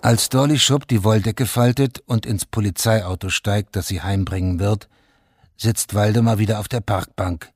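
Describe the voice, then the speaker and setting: A sober male narrator of a radio crime play. His voice is fairly low and husky, recorded very dry. The delivery is detached and scripted. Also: a little mellow, no echo.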